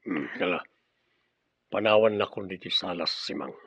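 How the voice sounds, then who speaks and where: An actor playing a ruthless, short-tempered, greedy crime boss in a radio drama, domineering and mocking. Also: male voice, deep voice, normal speed, vocal fry, fairly bright tone, breathy edge, dry recording, exaggerated voice. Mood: irritated. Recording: dull lo-fi audio with faint hiss.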